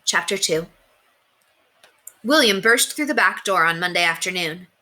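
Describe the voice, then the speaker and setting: Female children's book narrator, slightly low, bright, animated intonation. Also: unhurried. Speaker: storyteller reading aloud to children.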